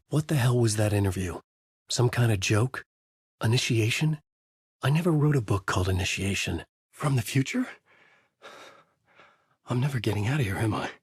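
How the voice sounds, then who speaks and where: A man playing a brooding, haunted writer in a video game, narrating a dark noir thriller in grave, clipped, dramatic phrases with a husky voice. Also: on the low side, no echo, studio quality. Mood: bewildered, troubled. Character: brooding, haunted male writer trapped in a nightmare.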